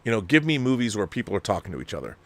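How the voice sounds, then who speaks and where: A man's voice: lowish voice, big pitch swings. A man hosting a casual film discussion, giving emphatic, opinionated commentary in a rambling way, often breaking off to rephrase. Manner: rambling, emphatic, halting mid-sentence and rephrasing. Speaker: host of a film discussion show. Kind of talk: casual opinionated commentary, reading viewer letters.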